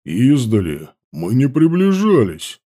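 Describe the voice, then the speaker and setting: Audiobook: male reader as a grinning young joker, slow and mellow. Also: mid-range voice, wildly swooping pitch, full character voice. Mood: teasing.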